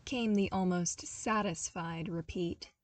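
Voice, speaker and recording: A woman reading a story aloud in an expressive, scripted narration style. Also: on the low side, slow, dry recording, distant mic. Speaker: podfic narrator reading fan fiction.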